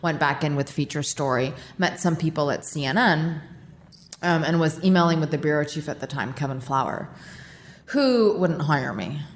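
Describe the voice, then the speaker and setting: A woman being interviewed on a podcast, telling her career story in an animated, self-mocking way. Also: low-pitched, normal speed. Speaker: guest, war correspondent. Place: charity office, roomy. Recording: echoey room with faint noise.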